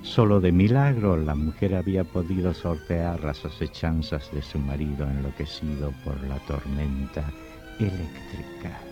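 A man's voice: extremely low pitch, a little slow. A man playing an ominous storyteller, narrating an old radio horror drama in a dramatic, literary style. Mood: grave storytelling. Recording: dull old radio recording, loud music.

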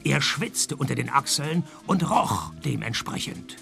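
A man playing a laconic, deadpan private detective who also narrates a radio play, in a husky voice. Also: medium pitch, a little slow, faint music behind, exaggerated voice. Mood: laconic narration. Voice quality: husky, gravelly. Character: male private detective, dry, unimpressed, probing, also the narrator. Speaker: lead actor and narrator. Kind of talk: scripted radio detective comedy.

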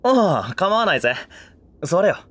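A man's voice: high voice, big pitch swings, normal speed, fairly bright tone, no room sound, exaggerated voice. A male actor playing a down-to-earth young man in a voice drama, speaking casually and bluntly but with care. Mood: relaxed, friendly. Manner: casual, rough, blunt speech. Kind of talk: Japanese voice drama acting.

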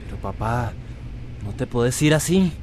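An actor playing a carefree but concerned grown son in a radio soap opera. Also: male voice, medium pitch, lively intonation, moderate pace, a little mellow, a little hiss or hum, natural voice. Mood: surprised, worried.

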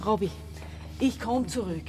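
An actress playing an intense, resentful and bossy sister of about forty in a radio drama. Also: female voice, mid-range voice, measured pace, over music, lightly acted. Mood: impatient, placating. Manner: intense, impatient.

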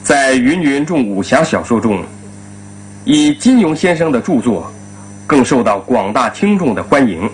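A man, formally hosting a radio panel in a measured, courteous way. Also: mid-range voice, very animated, poor audio. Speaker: radio host moderating a panel. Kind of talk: formal announcing, moderating a discussion. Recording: old radio recording, faint hum.

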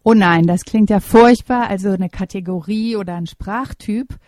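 A middle-aged woman answers interview questions in a calm, reflective way, often pausing to find her words. Her voice is warm and mellow. The recording is dry and close, with no room sound. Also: medium pitch, no echo. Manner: thoughtful, measured, with hesitations. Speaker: interviewee, German teacher.

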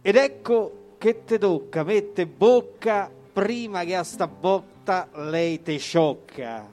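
A man's voice: high-pitched, slow pace, darkish tone, faint music behind. A man with a Roman accent giving a theatrical reading of comic dialect verse. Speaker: poetry reader.

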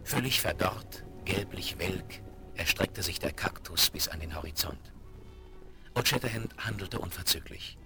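A man's voice: deep voice, a little slow, creaky, bright, forceful tone. A man narrating a Western radio play in a dramatic, scripted storytelling style. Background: music.

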